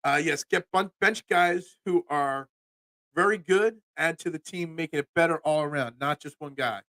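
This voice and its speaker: A man's voice: fairly high pitch, normal speed, bright, forceful tone, no room sound. A man in his forties with a Philadelphia accent, hosting a solo sports talk show and rambling with stammered restarts as he reads chat. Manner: rambling, stammering restarts, chatty asides to viewers.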